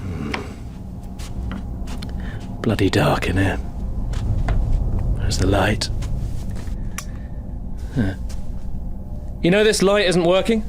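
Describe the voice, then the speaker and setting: An actor playing a brash, self-important working-class British pest controller in a radio drama. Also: male voice, fairly low pitch, huge pitch swings, drawn-out words, pauses often, noisy background, exaggerated voice. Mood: cocky, businesslike. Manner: colloquial, self-important, muttering to himself.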